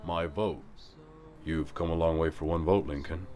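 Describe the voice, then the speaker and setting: A man playing a brooding, guarded vigilante in an audio drama, terse and controlled with a gravelly voice. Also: steady tone, normal speed, a little mellow. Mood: measured, dry. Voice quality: low, gravelly.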